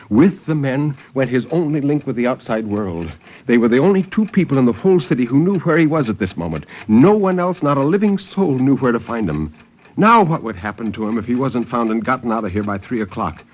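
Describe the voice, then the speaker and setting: A man giving a dramatic, deliberate and intense reading of suspense fiction in 1950s radio style. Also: mid-range voice. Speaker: story narrator. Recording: muffled, noisy 1950s radio recording.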